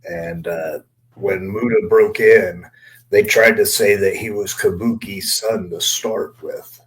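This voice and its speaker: A man's voice: on the low side, steady tone, slow pace. A man talking on a podcast, giving opinions in an earnest, emphatic way and repeating points for weight. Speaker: wrestler and panelist.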